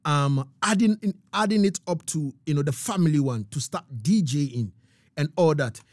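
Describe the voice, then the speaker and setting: A man's voice: on the high side, lively intonation, normal speed, dry recording, clean studio sound. A man hosting a casual live radio interview, repeating phrases and checking that he is understood.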